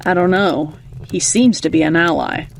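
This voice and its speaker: An actor playing a cool, terse secret agent in a video game, with a clipped deadpan delivery. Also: male voice, a little high, moderate pace, vocal fry, a little hiss or hum. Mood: matter-of-fact.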